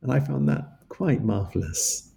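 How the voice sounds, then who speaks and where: A man answering interview questions about his book in a measured, halting way, with frequent restarts. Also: medium pitch, expressive, creaky, mellow, husky. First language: English. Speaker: author guest.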